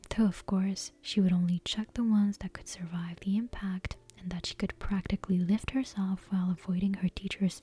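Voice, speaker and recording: A woman whispering a fantasy bedtime story in a breathy, gentle, careful ASMR read-aloud style. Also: medium pitch.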